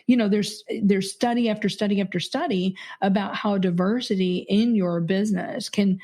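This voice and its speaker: A woman's voice: mid-range voice, steady tone, moderate pace. A middle-aged woman as an interview guest, talking earnestly with frequent fillers and easy laughter. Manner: warm, earnest, laughs easily, many fillers. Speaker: podcast guest, company CEO. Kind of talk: conversational interview.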